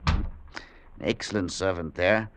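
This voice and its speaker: An actor playing a brusque, coldly logical, misanthropic professor in an old-time radio drama, with clipped, imperious delivery. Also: male voice, low-pitched, steady tone, a little slow, background noise. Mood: coldly dismissive. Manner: clipped, blunt, imperious, dismissive.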